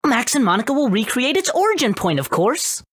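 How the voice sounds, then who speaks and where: An actress voicing a commanding rebel ally in a video game, emphatic and directive as the character gives orders. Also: female voice, on the high side, sing-song, fairly quick, husky, dry recording, clean studio sound. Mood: urgent, commanding.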